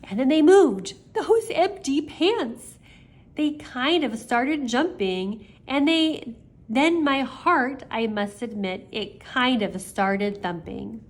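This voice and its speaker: Slow-paced older woman reading a storybook. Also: sits high. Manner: expressive, addresses the young listeners directly. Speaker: grandmother reading stories aloud to children. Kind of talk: read-aloud children's storybook with chatty asides.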